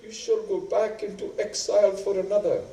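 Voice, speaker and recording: A mocking male villain of epic stage drama. His voice leaps high into loud, gloating declamation and drawn-out taunts, ringing in an echoey room at a distance from the mic. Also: steady tone.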